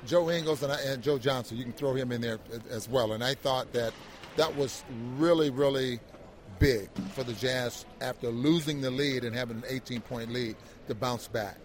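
Guy doing basketball postgame analysis, medium pitch, kinda halting, faint noise behind him. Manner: halting, repeats words as he thinks. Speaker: sports analyst, co-host.

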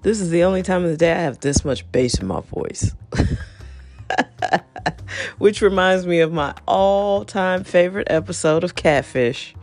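A woman's voice: on the low side, lively intonation, measured pace, darkish tone. A middle-aged woman hosting her own show, talking off the cuff with frequent laughs and wandering asides. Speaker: podcast host. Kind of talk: unscripted solo talk.

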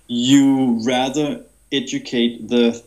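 A man with a Danish accent and a middle-pitched voice speaks as a guest in an interview. He explains things conversationally, haltingly, often repeating words as he searches for the next one. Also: level tone, a little mellow, poor audio. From Denmark. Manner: hesitant, halting delivery with many repeated words. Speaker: interview guest, self-help health practitioner.

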